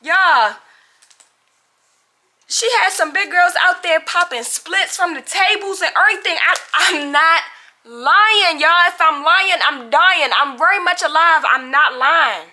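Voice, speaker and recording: A young adult woman telling a casual, animated story to viewers in a dramatic, slangy way, acting out dialogue as she goes. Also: very high-pitched, measured pace. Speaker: storytime vlogger.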